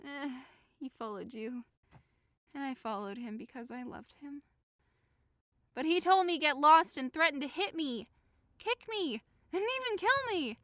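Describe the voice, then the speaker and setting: A woman's voice: high-pitched, fairly bright tone, dry recording. A woman playing a timid, lovesick, easily wounded young woman, plaintive and melodramatic, in a modernized Shakespeare audio drama.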